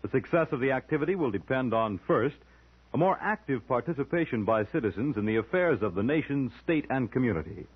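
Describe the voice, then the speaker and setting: A man's voice: medium pitch, animated, brisk, slightly rough. An American man giving a formal scripted announcement as an old-time radio announcer. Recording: thin, tinny old radio recording.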